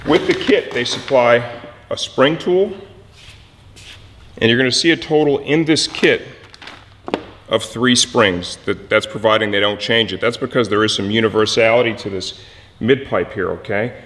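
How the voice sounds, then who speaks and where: A man presenting a hands-on mechanical how-to, instructive and often checking in with a quick "okay". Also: mid-range voice, a bit bright, in a large hall, slight background noise.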